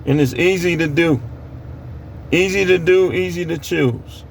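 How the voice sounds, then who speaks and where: A man's voice: on the high side, unhurried, vocal fry, a little hiss or hum. An American man preaching informally on scripture in a plain-spoken, admonishing way, repeating phrases for emphasis. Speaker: street preacher.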